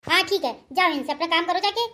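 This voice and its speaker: Very shrill, scolding cartoon village woman. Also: quick pace, sharp tone. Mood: indignant, stubborn. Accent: Awadhi Hindi. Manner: scolding, combative. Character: sharp-tongued village wife and her scolding mother-in-law, cartoon. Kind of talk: comic cartoon dialogue.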